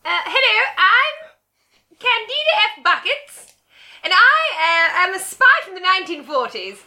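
A man voicing a giggly female spy from the 1940s in a shrill falsetto. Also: very animated, unhurried, bright, forceful tone, full character voice.

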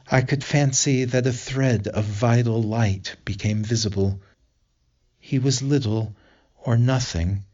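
Guy with a lowish voice reading an essay, measured phrasing, slightly dull muffled audio. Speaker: reader of an essay.

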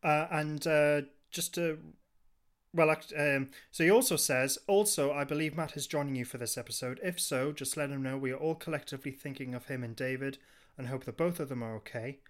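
A man's voice: fairly high pitch, fairly quick. A man hosting a podcast, chatting casually and reading out messages from listeners.

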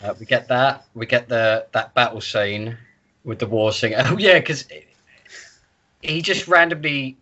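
A man's voice: mid-range voice, unhurried, sharp tone, muffled recording. A man co-hosting a podcast, casually discussing a book in an informal, sweary way. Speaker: podcast co-host.

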